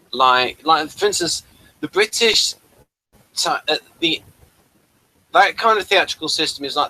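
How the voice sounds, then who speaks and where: Bright-voiced man chatting through a casual review. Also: medium pitch, low-quality recording. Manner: halting, rambling delivery. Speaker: host of a TV review talk.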